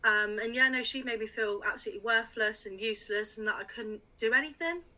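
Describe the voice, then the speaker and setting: A woman's voice: on the high side, fairly quick, pushed and bright. A woman in her late twenties from England, a music writer chatting openly as a podcast guest, with many little laughs and fillers. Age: late 20s. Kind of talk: casual interview chat.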